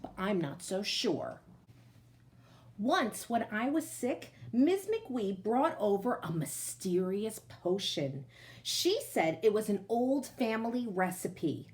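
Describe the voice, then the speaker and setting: Slow, animated woman reading a children's story. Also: mid-range voice, slight background noise. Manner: slow, deliberate, emphatic phrasing for young listeners. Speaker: teacher reading a picture book aloud. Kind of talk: expressive children's storybook read-aloud.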